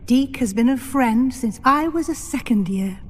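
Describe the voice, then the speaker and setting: Actress as a husky British witch professor. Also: a little high, moderate pace, lightly acted. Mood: instructive. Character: brisk, encouraging female witch professor. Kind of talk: video game dialogue acting.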